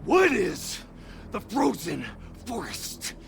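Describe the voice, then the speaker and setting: An actor playing an intense, menacing, short-tempered special forces man in a military shooter game, moving between hushed threats and barked orders. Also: high-pitched, wildly swooping pitch, slow, creaky, over music, full character voice. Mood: menacing, probing.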